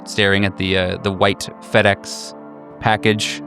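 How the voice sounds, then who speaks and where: Low, gravelly man narrating haltingly. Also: level tone, over music. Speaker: game master. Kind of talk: improvised horror roleplay narration.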